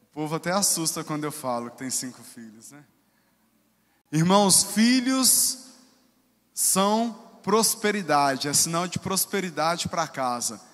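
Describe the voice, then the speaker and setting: A man's voice: a little high, very animated, measured pace, fairly bright tone. A Brazilian man preaching to a congregation as a lay missionary, exhorting his listeners. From Congonhas, Brazil. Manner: exhortative, addressing and leading the congregation. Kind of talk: sermon to a congregation. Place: church, amplified in a large hall. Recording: reverberant church sound with music behind.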